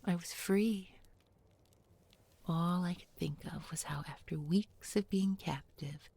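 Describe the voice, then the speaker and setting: Woman playing a breathy, whispering, tender village girl. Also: fairly low pitch, full character voice. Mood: soft, confessional. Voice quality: soft, breathy, often whispering. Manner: slow, gentle, murmured with lingering pauses. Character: bookish young village girl, tender, soothing former captive. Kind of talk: intimate whispered audio roleplay.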